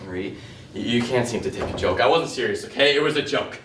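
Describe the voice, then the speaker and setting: A man acting on stage as a self-assured, condescending man who argues in a halting way. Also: medium pitch, background noise, natural voice. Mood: defensive. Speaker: stage actor. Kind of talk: stage play dialogue. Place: indoor stage, recorded from afar. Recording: echoey stage recording from a distance.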